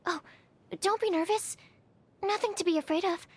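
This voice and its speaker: A woman's voice: very high voice, moderate pace, no echo. A woman voicing a shy, bookish young female alchemist in a video game, speaking in a hesitant, polite and earnest way. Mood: earnest, reassuring. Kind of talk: English video game character voice acting.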